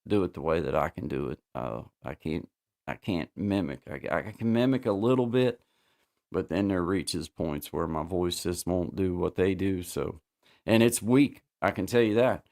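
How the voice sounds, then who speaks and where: Casual livestream host, male, deep and gravelly, rambling delivery. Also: animated, normal speed, darkish tone. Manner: rambling, repeats himself, many fillers.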